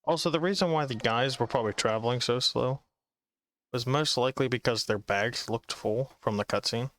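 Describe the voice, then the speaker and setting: A man's voice: medium pitch, moderate pace, a bit bright, dry recording. A man who plays games, commenting casually on the game as he goes. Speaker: gamer.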